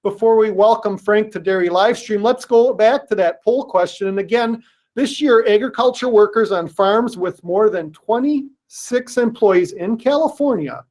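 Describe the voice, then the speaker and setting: Host reading smooth prepared lines. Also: medium pitch, a little mellow. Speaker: livestream host. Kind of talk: scripted host introduction.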